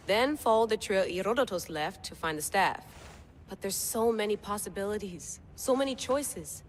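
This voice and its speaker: An actress playing a young modern agent in a video game, casual and teasing in manner. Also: female voice, medium pitch, a little hiss or hum, lightly acted. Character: young female modern agent, curious and restless. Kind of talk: video game cutscene acting.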